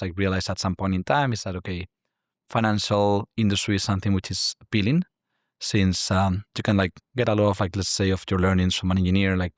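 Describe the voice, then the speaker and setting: A lowish-voiced man with a Spanish accent talks through a casual interview. He strings his points together with constant "like" fillers and a questioning "right?". The sound is dry and close. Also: dry recording. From Spain. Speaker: guest, climate tech investor.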